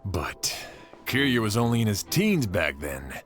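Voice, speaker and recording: A man's voice: fairly low pitch, big pitch swings, measured pace, fairly bright tone, faint music behind. A man voicing a stern, skeptical middle-aged sheriff in a video game, with a serious, probing delivery.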